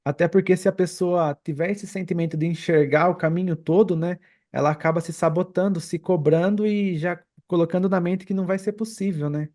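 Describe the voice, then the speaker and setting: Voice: mid-range voice, steady tone, fairly quick, hardly any pauses, dark tone. Podcast host: Brazilian, casual interview tone, agreeing, recaps with frequent tag questions. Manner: agreeing, tags statements with questions. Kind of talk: casual interview chat, summing up the guest's points.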